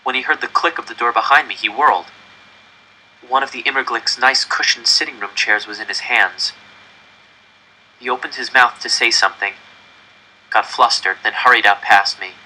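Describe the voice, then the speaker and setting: A man reading a first-person story aloud in an expressive, dramatic storytelling style. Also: medium pitch, moderate pace, pauses often, a little hiss or hum, low-quality recording. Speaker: audiobook reader.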